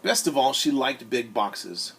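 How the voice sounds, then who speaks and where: A man who is a teacher reads a storybook aloud to children in an expressive way, often turning to ask his listeners questions. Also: mid-range voice, measured pace, gravelly, husky. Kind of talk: animated storybook read-aloud with asides to young listeners.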